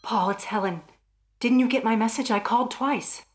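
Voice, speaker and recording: A desperate, frightened wife played by an actress in an old radio melodrama. Her voice sits fairly high, and she speaks with breathless urgency and nervous hesitation. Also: normal speed, close to an everyday voice. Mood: desperate pleading.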